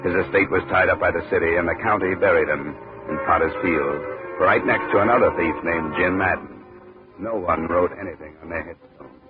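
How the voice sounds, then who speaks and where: An actor playing a terse, cynical, world-weary American investigator in a 1950s radio detective drama. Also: male voice, sits low, fairly quick, lightly acted. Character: hard-boiled, cynical male investigator. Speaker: lead actor narrating as an insurance investigator. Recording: tinny 1950s radio recording, loud music.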